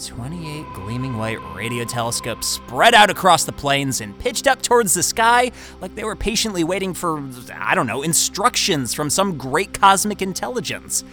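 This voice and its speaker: An actor playing a cocky, talkative young job candidate who stammers and rambles in a naturalistic audio drama. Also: male voice, on the high side, lively intonation, normal speed, hardly any pauses, bright, forceful tone, soft background music. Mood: wistful, awed. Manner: stammering, rambling, self-interrupting.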